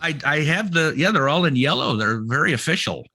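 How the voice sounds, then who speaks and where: Bright-voiced man in halting, casual banter. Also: a little high, animated, no echo. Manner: halting, repeating words as he explains. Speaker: podcast co-host.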